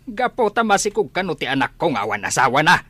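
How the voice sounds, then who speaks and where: A woman's voice: deep voice, big pitch swings, quick pace, a bit bright, husky, no room sound, heavily acted. An actress playing a bitter, reproachful middle-aged mother with a Filipino accent, in radio comedy-drama style.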